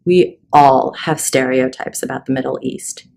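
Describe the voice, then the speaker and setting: A woman host in her home office, giving a welcoming, measured, scripted introduction. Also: rumbling low, steady tone, gravelly, background noise.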